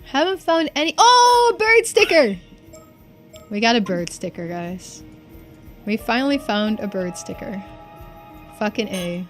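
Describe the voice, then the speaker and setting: A woman's voice: a little high, big pitch swings, a little slow, pauses often, soft background music. A woman game streamer giving casual, informal commentary to viewers during a playthrough. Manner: informal, chatty, addressing viewers.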